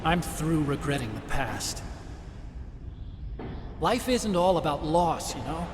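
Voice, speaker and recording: An actor playing a gentle young scientist in a video game, speaking in an earnest, reflective, persuasive way. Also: male voice, on the high side, big pitch swings, slow pace, heavy background noise. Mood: reflective, resolute. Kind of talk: dramatic video game acting. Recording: dramatic music behind the voice.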